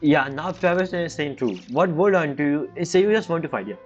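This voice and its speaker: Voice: medium pitch, lively intonation, a little fast, highs cut off. A game streamer giving casual, blunt, scoffing commentary in informal, loosely grammatical speech. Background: game music.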